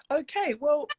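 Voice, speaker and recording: A woman from New Zealand with a New Zealand accent, chatting as an interview guest on a video call, warm and rambling with many restarts. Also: high-pitched, no room sound, muffled recording. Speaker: guest, church leader. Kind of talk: casual interview chat.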